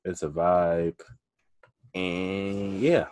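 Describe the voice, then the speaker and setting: A man's voice: sits low, level tone, very slow, a little mellow, clear, resonant tone. A young man co-hosting a podcast, chatting in a laid-back, slangy way. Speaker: podcast co-host. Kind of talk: casual chat.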